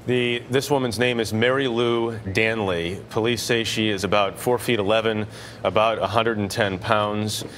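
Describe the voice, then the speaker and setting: A male news presenter with a lowish voice relays a breaking update, partly off script. There is faint noise in the background. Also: steady tone, moderate pace, fairly bright tone.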